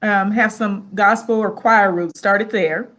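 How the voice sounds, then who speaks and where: A woman's voice: mid-range voice, fairly even intonation, unhurried, a bit bright. A woman hosting a live online interview, upbeat, greeting viewers and guiding the conversation. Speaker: host.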